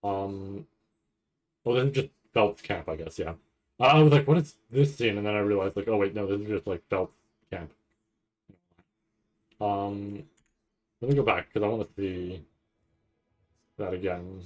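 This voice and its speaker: A man giving casual, off-the-cuff commentary on a video, thinking aloud in a halting way and often repeating himself. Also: on the low side, low-quality recording. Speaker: anime reaction streamer.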